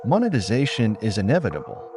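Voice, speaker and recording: A man voicing a smooth, businesslike male game executive focused on profit, in an audiobook. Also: fairly low pitch, huge pitch swings, quick pace, music in the background. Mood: smug, confident. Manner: smooth, matter-of-fact.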